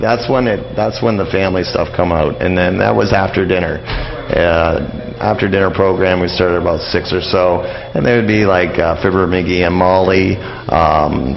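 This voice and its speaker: Middle-aged man, very low creaky voice, halting rambling delivery, casual interview guest, slightly muffled lo-fi recording. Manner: halting, rambling explanations peppered with "you know" and "like". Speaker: interview guest on old-time radio. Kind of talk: casual radio interview, explaining history.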